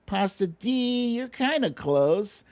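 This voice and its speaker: Unhurried American livestream host. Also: medium pitch, animated, a little mellow. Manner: playful, self-deprecating, chuckling. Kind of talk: casual livestream chat with a sing-and-guess song game, reading out viewer names.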